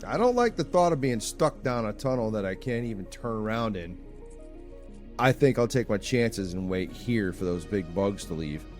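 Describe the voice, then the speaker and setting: An actor playing a skeptical, reluctant survivor in an audio drama, grumbling and sardonic. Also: male voice, on the low side, big pitch swings, moderate pace, darkish tone, faint music behind. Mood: reluctant, uneasy. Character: skeptical, grumbling, reluctant man trapped in a basement. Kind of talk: scripted audio drama acting.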